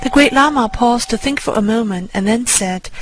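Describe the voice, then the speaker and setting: A woman reading a scripted first-person spiritual story in a measured storytelling manner. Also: a little high. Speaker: narrator. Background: music under the voice.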